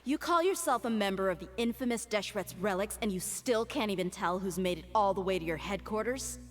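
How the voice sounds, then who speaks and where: A woman's voice: mid-range voice, expressive, fairly quick, close to an everyday voice. A woman playing a stern, commanding young female mercenary in a video game, firm and blunt.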